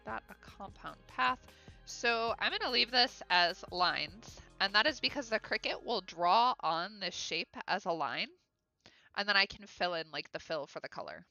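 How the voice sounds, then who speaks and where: A woman's voice: medium pitch, animated, normal speed, creaky, bright, forceful tone, faint music behind, low-quality recording. A woman with a Midwest American accent chats casually on a livestream while she draws, reading out viewer comments with fillers and giggles. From Ohio, USA. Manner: chatty and upbeat, with frequent fillers and giggles. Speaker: illustrator live-streaming her drawing.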